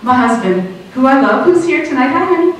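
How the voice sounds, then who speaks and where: A middle-aged woman from Russia, a native Russian speaker, doing a conversational, expressive stand-up comedy set. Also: high voice, a little fast. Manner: conversational, expressive storytelling to a crowd. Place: small improv theater stage, indoors. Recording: echoey small theater, distant, some noise.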